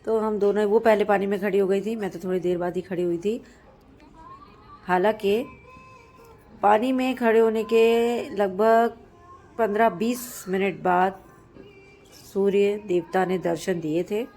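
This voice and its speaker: Hoarse-voiced woman vlogging on a rooftop. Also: medium pitch, fairly even intonation, measured pace, pauses often, warm tone, soft background music. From Madhya Pradesh, India. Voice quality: husky. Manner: explanatory, devotional. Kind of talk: casual vlog narration. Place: outdoors on a rooftop.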